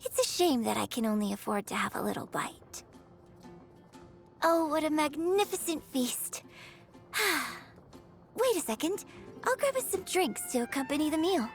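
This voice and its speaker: An actress playing a refined, gracious young opera star and troupe director in a video game, speaking in a formal, theatrical manner. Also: female voice, sits high, sing-song, measured pace, pauses often, faint music behind, heavily acted. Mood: delighted. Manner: genteel, theatrical, formal wording. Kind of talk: video game character voice lines.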